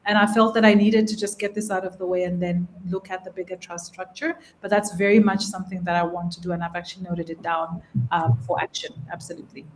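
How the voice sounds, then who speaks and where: A woman from Uganda, presenting her property deals to a judging panel over a live call in a measured, businesslike way. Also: lowish voice, steady tone, slight background noise, low-quality recording. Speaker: presenting investor.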